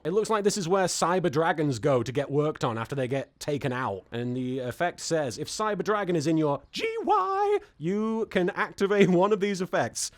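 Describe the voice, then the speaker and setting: High-pitched, animated man chatting playfully. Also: dry recording. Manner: playful, rambling, mock-dramatic exclamations and asides. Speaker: host of a card pack opening series. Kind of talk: casual comedic chat, reading card text aloud.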